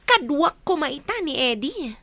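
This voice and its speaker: A woman's voice: high voice, very animated, unhurried, fairly bright tone, no room sound, exaggerated voice. A woman acting in a radio drama as a scheming young mistress, pouty and gloating.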